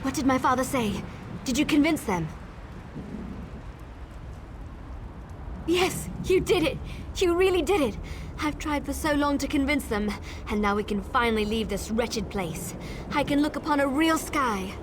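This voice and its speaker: A woman's voice: high voice, lively intonation, moderate pace, few pauses, background noise. A woman playing an earnest, hopeful young elf in a video game, speaking eagerly and expressively. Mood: overjoyed relief.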